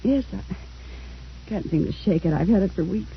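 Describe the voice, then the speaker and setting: A woman's voice: mid-range voice, animated, moderate pace, gravelly. A woman playing a weary, remorseful middle-aged former wife in an old-time radio drama, hesitant and pleading. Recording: dull, faintly noisy old radio recording.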